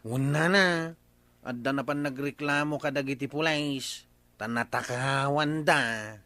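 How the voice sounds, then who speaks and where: A man's voice: mid-range voice, fairly even intonation, unhurried, pushed and bright, no echo. A man acting a gossipy, conversational villager in an Ilocano radio comedy drama. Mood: matter-of-fact, teasing.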